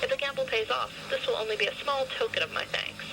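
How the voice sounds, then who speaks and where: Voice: mid-range voice, fast, vocal fry, noisy background, pitched above the performer's own voice, natural voice. A performer voicing a vain, suffering female fashion model from the future in an audio science fiction story, with music behind. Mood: pleading, earnest.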